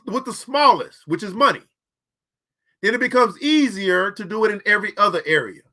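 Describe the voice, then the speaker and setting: A man's voice: very high voice, pushed and bright. A man in his forties hosting a show, giving a preachy motivational talk about money and repeating phrases for emphasis. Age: 40s. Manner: preachy, repeats phrases, talks straight to the audience. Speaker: podcast host.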